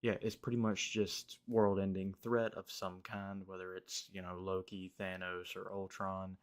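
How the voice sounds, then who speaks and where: A young man, slightly hoarse, rambling through a casual movie review with lots of fillers and restarts. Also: deep voice.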